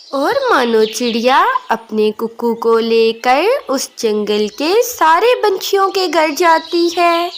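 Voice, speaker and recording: A woman narrates a children's tale in a high voice. She goes slowly, stretching phrase endings, with birdsong and slight noise behind her. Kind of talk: scripted children's story narration.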